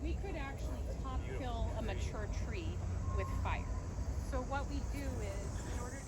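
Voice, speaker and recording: A woman's voice: on the high side, moderate pace. A woman speaking informally as an ecologist explaining plants to a tour group outdoors. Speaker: ecologist guiding a nature tour. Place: outdoors in a nature preserve. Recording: noisy outdoor recording.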